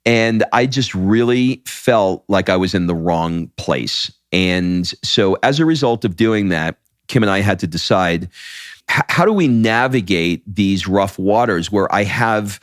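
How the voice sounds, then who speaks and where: A man with a deep voice co-hosting a podcast, telling stories with animated energy and frequent restarts. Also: a little slow, no echo, studio quality. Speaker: podcast co-host. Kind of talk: casual podcast chat.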